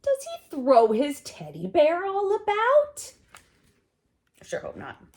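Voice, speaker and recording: A middle-aged woman hosting a storytime for small children, reading aloud in an expressive, exaggerated way with dramatic pauses. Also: extremely high pitch. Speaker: host of a children's sign language storytime.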